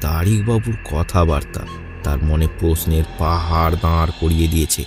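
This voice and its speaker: A man's voice: extremely low pitch, moderate pace, over music. A man narrating a scripted horror story for a cartoon.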